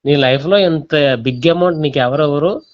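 High-voiced Telugu man on a dull phone call. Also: normal speed. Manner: recounts step by step, quoting dialogue. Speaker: phone caller recounting being scammed. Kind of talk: casual phone conversation, storytelling.

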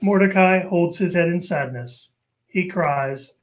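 A man's voice: high voice, a little slow. A man narrating a scripted old-time radio show, setting the scenes.

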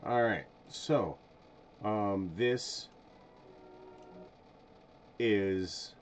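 Slow, low-voiced middle-aged man, halting. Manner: hesitant, halting. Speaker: streamer host. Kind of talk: casual live commentary. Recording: dull sound, faint background noise.

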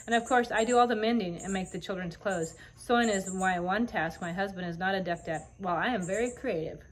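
A woman voicing a tiny, adventurous queen in a children's story, light and clear, with a gracious, formal manner. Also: medium pitch, fairly quick, slight background noise, natural voice, poor audio. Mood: confiding.

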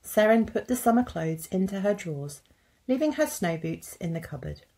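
Casting: female teacher, thirties, children's story narrator, mid-pitched, husky, deliberate phrasing. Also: expressive, warm tone. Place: indoors at a computer.

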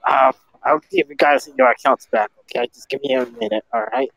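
A man's voice: medium pitch, big pitch swings, brisk, vocal fry, fairly bright tone, exaggerated voice, low-quality recording. A man voicing a blustering, stammering villain in an improvised comedy fan dub of a game. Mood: flustered. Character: blustering, stammering villain Eggman bargaining with his accusers.

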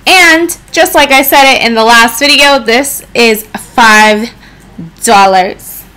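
A young woman in her twenties with a slightly raspy voice, chatting casually to camera in a bedroom as a beauty vlogger, just up from a nap. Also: fairly high pitch, slow. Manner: chatty, expressive hand gestures, playful asides. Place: bedroom, indoors. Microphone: camera in front at desk distance.

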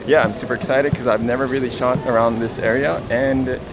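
Male vlogger, twenties, casual and conversational, medium pitch, camera at arm's length outdoors, clear music bed. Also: steady tone, fairly quick, rough recording. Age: 20s. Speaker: vlogger and photographer. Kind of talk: casual vlog talk to camera. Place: city streets, station and covered alleys, outdoors. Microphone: camera held at arm's length.

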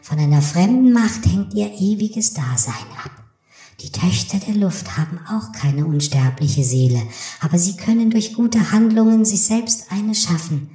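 A woman playing a gentle spirit of the air in a fairy tale audiobook, speaking solemnly with a ghostly echo. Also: mid-range voice. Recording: echoing reverb, soft music behind.

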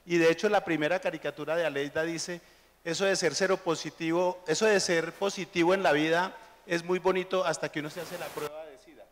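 A middle-aged man with a Colombian accent tells relaxed stories on a panel stage. His voice sits somewhat high. The handheld mic picks up the long reverb of a large hall. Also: fairly quick. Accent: Colombian Spanish. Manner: relaxed storytelling, anecdotal. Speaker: cartoonist panelist. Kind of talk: onstage panel talk.

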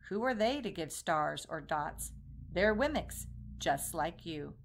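A woman voicing a kindly, deep-voiced bearded old wood carver who speaks slowly and softly. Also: lively intonation, a little hiss or hum. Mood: reassuring. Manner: slow, soft, kindly.

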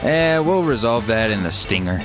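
A man's voice: medium pitch, animated, normal speed, music in the background, lightly acted. A man playing a wry, laid-back companion in a comedy radio play. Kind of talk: comedic radio theater acting.

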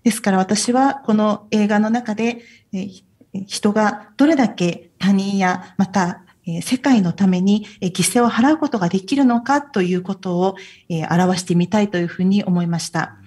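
A woman's voice: mid-range voice, normal speed, a little mellow, husky. A woman working as an interpreter at a film festival talk, relaying careful, filler-heavy answers between English and Japanese. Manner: careful, filler-laden delivery.

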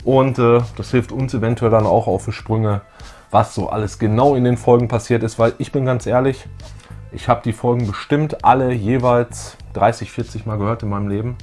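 A man's voice: medium pitch, steady tone, moderate pace, a little mellow, soft background music. A middle-aged man hosting a casual fan chat in a jokey, colloquial way. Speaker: YouTube show host. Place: small room full of posters and collectibles, on a couch.

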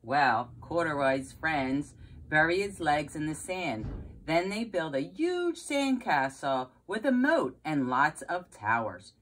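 A woman's voice: low-pitched, big pitch swings, takes it slowly, fairly bright tone. A middle-aged woman reading a picture book aloud to young children like a preschool teacher, with questions and exclamations. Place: carpeted basement room at home, indoors.

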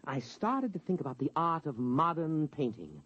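Morniel, pompous animated American painter. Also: male voice, on the high side, moderate pace, slightly rough, heavily acted. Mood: boastful. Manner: pompous, self-admiring. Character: conceited, untalented bohemian male painter, shamelessly boastful. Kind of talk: 1950s radio acting. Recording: dull vintage radio recording.

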